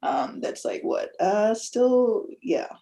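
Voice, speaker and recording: A young woman from Tonga, a guest on a podcast, speaking softly and with feeling. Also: fairly high pitch, animated, slow pace. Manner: soft-spoken, emotional, laughs often. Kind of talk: casual interview.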